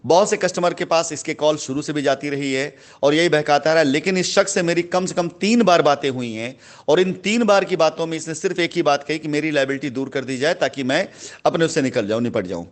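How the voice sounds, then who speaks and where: Indignant man, slightly high voice. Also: brisk. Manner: emphatic, indignant, formal, repeats phrases for stress. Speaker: company head addressing customers. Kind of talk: voice note announcement, speech-like monologue.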